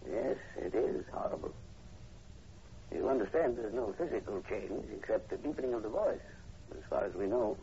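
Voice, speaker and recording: An actor playing an earnest university professor, measured and polite with hesitant pauses, in 1940s radio horror style. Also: male voice, lowish voice, animated, dark tone. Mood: grave, scholarly. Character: earnest, courteous university professor investigating werewolves. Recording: dull, noisy old radio broadcast recording.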